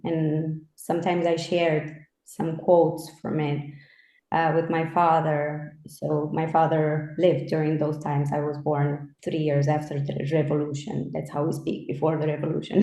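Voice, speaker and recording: Earnest woman, low voice. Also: level tone, a little slow, mellow. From Romania. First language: Romanian. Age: 30s. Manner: earnest, reflective. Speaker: interviewer. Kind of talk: conversational interview. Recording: roomy echo.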